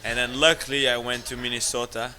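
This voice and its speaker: A man with an Italian accent tells stories in an easy, chatty way and often slips into the voices of the people in them. His voice is very bright and ringing, with an edge that cuts through clearly. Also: medium pitch, quick pace, a little hiss or hum, rough recording. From Italy. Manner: animated, laughs often, acts out quoted voices. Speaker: guest, restaurateur. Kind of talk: casual storytelling.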